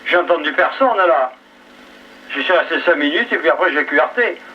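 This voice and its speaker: A man's voice: fairly high pitch, lively intonation, normal speed, breathy edge. A chatty, joking man, talking casually over a two-way amateur radio. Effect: two-way radio transmission. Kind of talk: casual radio chat with sign-offs. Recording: dull, noisy ham radio relay, distant.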